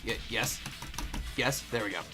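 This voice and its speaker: A man's voice: on the low side, unhurried, over music. A man playing a game with friends, exclaiming and joking, with dramatic line readings. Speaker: fan dub voice performer playing a game. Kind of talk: animated group game read-through and banter.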